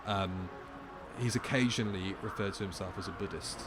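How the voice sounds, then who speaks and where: A man's voice: deep voice, a little fast, loud music behind the voice. A man giving casual, irreverent commentary in a wry, joking way. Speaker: commentary podcast co-host.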